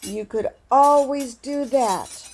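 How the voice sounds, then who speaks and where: Woman voicing grieving old widow, very slow, talks to herself, higher voice. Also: darkish tone, husky, exaggerated voice. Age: older. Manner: slow, wistful, talking to herself. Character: lonely grieving old widow, fired telemarketer, turning bitter. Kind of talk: audio drama acting.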